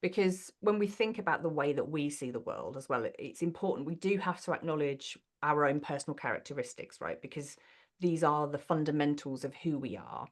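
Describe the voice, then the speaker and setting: A woman's voice: deep voice, a little fast. A woman leading an online workshop, affirming participants and asking them questions. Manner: warm affirming, asks questions of the group. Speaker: inclusion coach leading a session.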